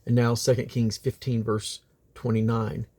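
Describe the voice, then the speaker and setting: Husky man reading scripture aloud. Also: medium pitch, level tone, normal speed, mellow. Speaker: scripture reader.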